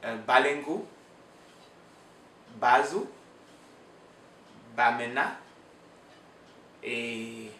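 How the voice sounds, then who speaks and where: A young man from Cameroon with a Cameroonian French accent, answering interview questions in a slow, halting way with many pauses and restarts. French is his first language. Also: medium pitch, sharp tone, echoey room, recorded from a distance, a little hiss or hum.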